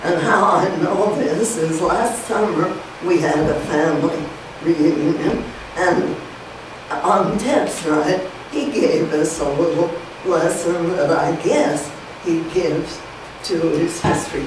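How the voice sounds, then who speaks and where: Slow, rambling older woman hosting. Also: on the low side. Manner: halting, full of "anyway". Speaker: event host introducing the speaker. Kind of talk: rambling informal introduction. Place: small meeting room, indoors. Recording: echoey room, distant camera mic, hiss.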